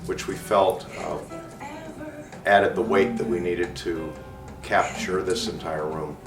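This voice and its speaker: A man explaining a product as an exhibitor, unscripted, with measured, deliberate phrasing. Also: sits low, steady tone. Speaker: audio company exhibitor. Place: large exhibition room. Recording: echoey room, distant mic, background music.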